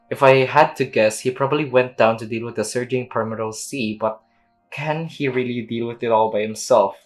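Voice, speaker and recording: A woman streamer giving casual reaction commentary while playing a video game. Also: a bass voice. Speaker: gameplay streamer.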